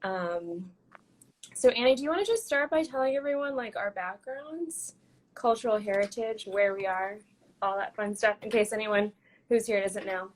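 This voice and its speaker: A woman's voice: mid-range voice, expressive, normal speed. Bubbly young woman hosting a livestream. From United States. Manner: bubbly, laughs often, many fillers. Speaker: host of a live chat. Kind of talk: casual chat on a livestream. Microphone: phone or laptop mic on a video livestream.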